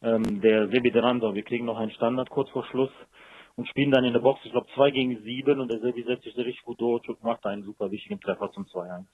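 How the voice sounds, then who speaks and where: A man's voice: medium pitch, steady tone, fast, slightly rough, no echo. A man speaking as a football coach in a post-match interview over a telephone line. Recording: muffled, dry telephone line.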